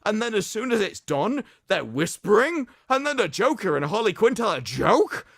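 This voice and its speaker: A man with a fairly high, very animated voice delivers mocking commentary. He is sarcastic and theatrical, stressing his words like a dramatic reader. The sound is dry, with no room on it. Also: moderate pace, gravelly, no echo. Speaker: streamer host.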